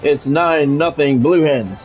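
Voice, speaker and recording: A man's voice: on the high side, takes it slowly, muffled recording. A middle-aged American man doing play-by-play of a football video game on a livestream, mixing polished, clipped announcer calls with casual chat to viewers.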